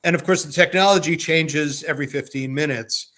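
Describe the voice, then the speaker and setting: A man's voice: fairly high pitch, fairly quick, bright, forceful tone. A man talking casually as a podcast host, reflective and rambling, often repeating words as he goes. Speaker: podcast host, playwright and producer. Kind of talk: casual conversational chat.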